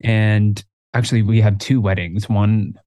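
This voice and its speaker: Lively man in his twenties, dry mic. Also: unhurried, no room sound. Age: 20s. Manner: animated, jumps up in pitch when quoting himself. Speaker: podcast co-host. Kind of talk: casual chat.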